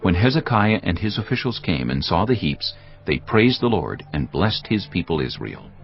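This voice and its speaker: Deep-voiced solemn male scripture narrator. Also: very animated, dull audio. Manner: solemn, measured. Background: music.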